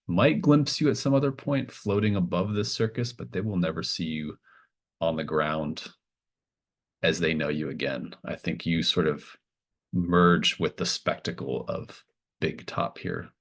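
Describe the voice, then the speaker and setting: Very deep-voiced man running a tabletop game. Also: vocal fry. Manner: halting, casual phrasing as he improvises scenes. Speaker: game master. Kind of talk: improvised narration of a tabletop horror game.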